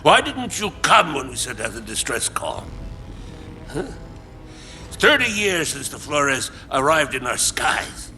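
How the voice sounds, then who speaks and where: An actor playing an embittered, deranged older colonist leader in a video game cutscene, halting and theatrical as he justifies himself. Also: medium pitch, sing-song, bright, forceful tone, full character voice. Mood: ranting self-justification. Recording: music under the voice.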